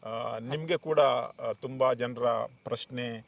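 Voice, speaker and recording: A man's voice: medium pitch, fairly even intonation, takes it slowly, gravelly. A man hosting an interview conversationally, often mixing in English words. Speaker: show host, interviewer.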